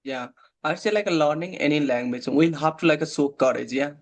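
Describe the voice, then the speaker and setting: A man's voice: a little high, moderate pace. A young man, a guest in a casual talk, speaking hesitantly with many "like" and "uh" fillers. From Nepal. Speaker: guest, waiter. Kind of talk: casual conversation practice.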